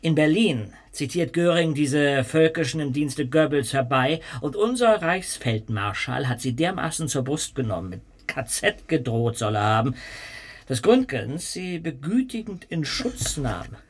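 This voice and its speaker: A man's voice: on the high side, lively intonation, a little slow, breathy edge. An actor playing a chatty male guest who recounts rumors at a dinner. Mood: gossipy. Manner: discursive, gossip-telling. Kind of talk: radio play acting.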